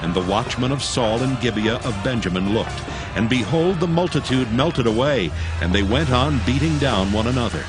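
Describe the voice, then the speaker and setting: A man narrating a dramatized Bible in a solemn, measured, scripted style. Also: medium pitch, lively intonation. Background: music.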